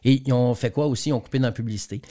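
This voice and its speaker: A man's voice: mid-range voice, very fast, warm tone. A man from Quebec with a Quebec French accent, a guest trainer and speaker explaining business examples in a radio interview, often repeating words as he goes.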